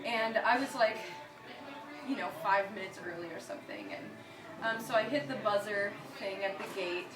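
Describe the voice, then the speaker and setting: A woman's voice: mid-range voice, normal speed, echoey room, far from the mic, a crowd in the background, low-quality recording. A young woman from Michigan telling a personal story in a chatty, giggly way, with many fillers and bursts of laughter.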